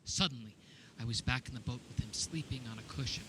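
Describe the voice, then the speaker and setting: Over clearly audible night insects, a man acts out a troubled male disciple in a radio drama. His mid-pitched voice tells the memory in a plain-spoken, casual and reflective way. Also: fast, sharp tone, a little hiss or hum, lightly acted, poor audio. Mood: agitated. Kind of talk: dramatic first-person radio theater monologue. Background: crickets and outdoor night ambience.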